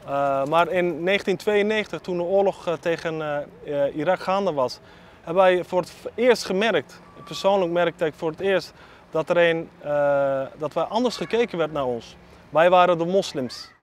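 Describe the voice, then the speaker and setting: A middle-aged man with a Dutch accent giving personal testimony, hesitant and often pausing. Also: a little high, a little hiss or hum. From Netherlands. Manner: hesitant, frequent pauses. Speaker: interviewee.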